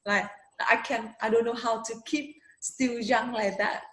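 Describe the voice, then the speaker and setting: A woman's voice: a little high, steady tone, unhurried, a bit bright. A young adult woman chatting in English, hesitant and searching for her words with many fillers. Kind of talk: casual conversation in English. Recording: echoey room.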